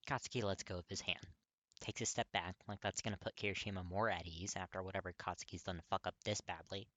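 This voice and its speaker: A narrator reading fiction aloud in an expressive, scripted storytelling style. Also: sits low, fast talker, no room sound.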